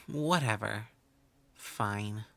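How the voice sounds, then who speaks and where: A woman's voice: a bass voice, very slow, fairly bright tone, no echo, heavily acted. A woman voicing a proud female elf wizard in an audio roleplay, prim and aloof, often sighing. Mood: haughty.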